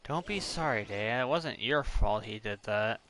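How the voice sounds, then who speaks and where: A man's voice: mid-range voice, expressive, moderate pace, a bit bright, a little hiss or hum. A man reacting casually and reassuringly as he plays through a story game. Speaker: game streamer.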